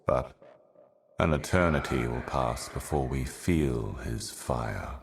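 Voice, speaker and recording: A super deep ancient video game herald, grave and weary, slow cryptic doom monologue. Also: male voice, vocal fry, slight background noise, full character voice. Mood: ominous, grave. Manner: grave, deliberate, ominous, poetic.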